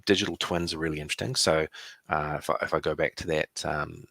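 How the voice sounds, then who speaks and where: A man with a New Zealand accent giving a conference presentation, relaxed, with many fillers and restarts. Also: very deep voice, fast, vocal fry, no echo, clean studio sound.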